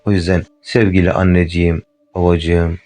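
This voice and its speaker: A man voicing a small, earnest schoolchild ant in a storybook tale, in his own low voice. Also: level tone, normal speed, close to an everyday voice. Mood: loving.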